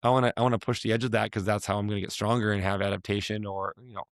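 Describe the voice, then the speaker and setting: A man hosting a casual talk, his voice hoarse and raspy from a week of yelling, rambling and restarting as he asks questions. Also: fairly low pitch, flat delivery, very fast, dry recording, studio quality. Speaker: podcast host, fitness coach. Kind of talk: casual chat.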